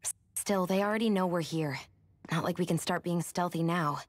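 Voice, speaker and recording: A woman's voice: on the low side, normal speed, no echo, lightly acted. An actress voicing a defiant young woman rebel fighter in a video game, blunt and challenging. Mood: wary. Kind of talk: video game cutscene acting.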